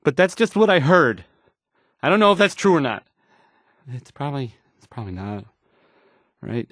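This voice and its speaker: A man's voice: a little high, big pitch swings, a bit bright, dry recording, clean studio sound. An actor playing an awkward, insecure male radio DJ in a video game, stammering and hesitating through his news links. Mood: anxious, unsure. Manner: halting, stammering, hesitant, awkward, self-doubting. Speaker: radio DJ character.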